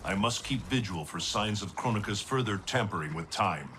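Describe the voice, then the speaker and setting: A man's voice: fairly low pitch, lively intonation, noisy background, lightly acted. A man voicing a solemn god of thunder. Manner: measured, formal, solemn. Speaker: mentor. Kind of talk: video game cutscene acting.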